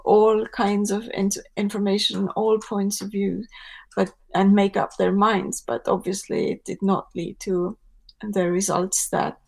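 A woman's voice: mid-range voice, creaky, darkish tone. A woman from Estonia who analyses politics in a podcast discussion, earnest and firm.

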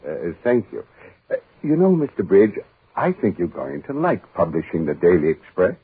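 An old radio recording, thin and narrow. an aging, devoted columnist with a low, very hoarse-edged voice. He is humble and earnest, stumbling anxiously over his words in 1940s drama style. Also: sing-song, moderate pace, no room sound, heavily acted. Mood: polite, eager. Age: older. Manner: hesitant, stammering, earnest. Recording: muffled, band-limited 1940s radio recording.

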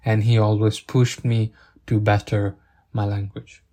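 A young man speaking English as a second language, taking his time and often stopping to hunt for the right word. His low voice stays level and even, with little rise or fall. He sounds earnest and grateful throughout. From outside the UK. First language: not English. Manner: halting, searching for words, with restarts. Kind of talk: personal thank-you monologue.

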